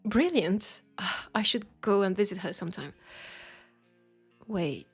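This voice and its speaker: A woman with a French accent playing a knowing, teasing witch in an intimate roleplay audio drama, with husky, breathy speech and dramatic pauses. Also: medium pitch, animated, faint music behind. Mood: amused, surprised. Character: knowing, teasing, compassionate witch in a secluded werewolf town.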